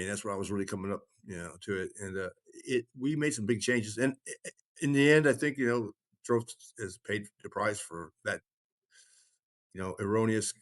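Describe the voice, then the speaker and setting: Casting: male podcast talker, lowish voice, loose rambling delivery that halts and trails off, casual storytelling. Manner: rambling, halting, frequently trailing off. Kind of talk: casual conversational storytelling and explaining.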